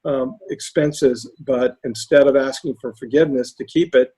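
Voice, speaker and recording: Hoarse raspy man on a video call. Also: mid-range voice, fairly even intonation, mellow, low-quality recording. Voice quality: raspy, lost voice. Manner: halting, many fillers and restarts. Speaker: panelist presenting case studies. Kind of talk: webinar talk.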